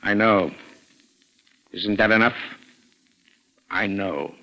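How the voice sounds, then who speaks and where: A man playing a suspicious middle-aged stepfather in a 1940s radio drama, falsely genial and quietly menacing, probing with deliberate pauses. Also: fairly low pitch, low-quality recording. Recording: vintage 1940s radio recording.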